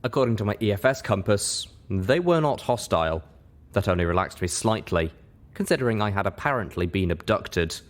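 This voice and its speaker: Low-voiced man narrating expressively. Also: lively intonation, brisk. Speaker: audiobook reader. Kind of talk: scripted fiction narration.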